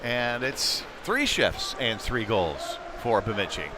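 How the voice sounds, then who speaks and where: A man's voice: mid-range voice, takes it slowly. Man calling hockey over rink noise. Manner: conversational, excitable on big plays. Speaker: play-by-play commentator. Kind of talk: live youth hockey play-by-play commentary. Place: indoor ice rink, live broadcast. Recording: clear background noise of sports arena.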